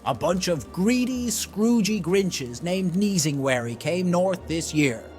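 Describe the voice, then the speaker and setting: A man telling a holiday story in character, in a theatrical, deliberate way. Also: high-pitched, animated, a little mellow, soft background music. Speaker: storyteller in a roleplay story event.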